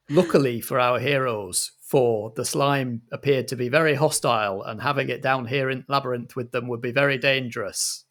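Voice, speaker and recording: A man's voice: mid-range voice, normal speed, a bit bright. A man acting as director and narrator of an improvised comic audio drama. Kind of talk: storytelling narration and brisk direction.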